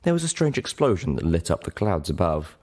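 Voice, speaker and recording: A man's voice: deep voice, very animated, a little fast, a little mellow. A man voicing a guarded, thoughtful grey female unicorn telling her tales in a storybook reading. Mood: matter-of-fact, wary.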